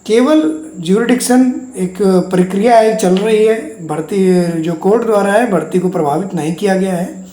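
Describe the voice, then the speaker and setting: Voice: medium pitch, normal speed, mellow. A speaker gives an informal update to exam candidates as a channel host, in a repetitive, reassuring way. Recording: echoey untreated room.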